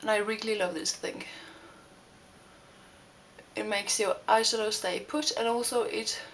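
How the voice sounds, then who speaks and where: A woman's voice: mid-range voice, steady tone, a little slow, slight background noise. Hesitant young Norwegian woman. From Norway. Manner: hesitant, many fillers and restarts. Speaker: beauty vlogger. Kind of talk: makeup tutorial talk. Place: home room.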